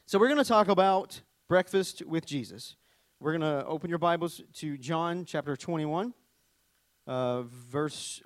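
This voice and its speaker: A middle-aged man with a Southern US accent preaches in a chatty, animated way, dropping jokes between scripture readings. His voice sits a bit high for a man. The sound is dry and close. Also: no room sound. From Arkansas, US. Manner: chatty, joking asides, animated storytelling. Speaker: worship leader and preacher. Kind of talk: conversational sermon with scripture reading.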